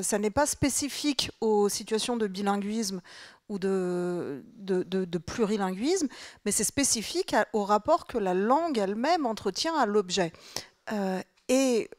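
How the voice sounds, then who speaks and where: A woman's voice: medium pitch, dry recording. Musing woman writer, dry close sound. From France. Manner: thoughtful, musing, long winding sentences with fillers. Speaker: writer and guest speaker. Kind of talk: live literary conversation, reflective. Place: public talk on stage.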